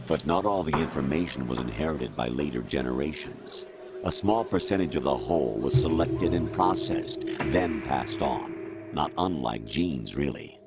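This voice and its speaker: A man voicing a coldly superior artificial intelligence in a video game, lecturing in a measured, condescending way. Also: rumbling low, animated. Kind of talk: video game cutscene voice acting. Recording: music behind the voice.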